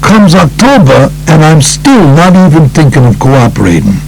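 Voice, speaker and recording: A man voicing a tough, aggrieved, profane mob underboss in an audiobook, blunt and streetwise, with a gravelly voice. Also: a little high, sing-song, normal speed, faint music behind, low-quality recording.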